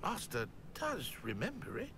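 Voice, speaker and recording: Fields: Figure: stammering house-elf servant. Pitch: high. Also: male voice, huge pitch swings, normal speed, creaky, sharp tone, a little hiss or hum, exaggerated voice. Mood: servile. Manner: stammering, refers to himself in third person. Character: timid servile male house-elf servant.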